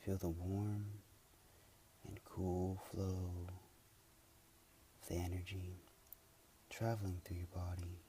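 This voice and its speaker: Male meditation guide, low and level delivery, soothing, unhurried, spacious pauses. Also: flat delivery, dark tone, a little hiss or hum. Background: music.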